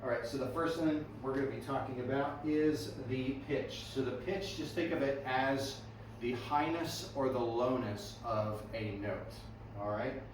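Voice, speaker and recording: A man's voice: mid-range voice, a little slow. A man teaching a music theory workshop to students, often quizzing them and checking in with "right?" and "okay". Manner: friendly questioning of students, frequent "right?" and "okay". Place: music classroom. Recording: echoey room, distant mic, background noise.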